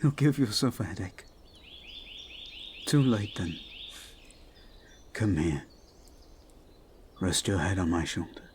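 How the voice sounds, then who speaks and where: A man playing a devoted, protective young prince in an intimate audio roleplay, speaking gently and somewhat formally to his betrothed. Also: low-pitched, expressive, slight background noise. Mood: soothing, protective. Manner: gentle, soothing, measured, slightly formal phrasing.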